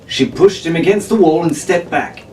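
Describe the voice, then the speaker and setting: A man's voice: fairly high pitch, normal speed, darkish tone, a little hiss or hum. A man reading a pirate story aloud in a playful, theatrical, drawling way. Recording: echoey room from far off.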